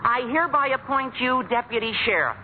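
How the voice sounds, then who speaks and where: An excitable, gushing teenage boy, with a high, youthful pitch and a breathless eagerness. The voice comes through a tinny old radio broadcast with hiss. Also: brisk. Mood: eager. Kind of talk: 1940s radio comedy acting.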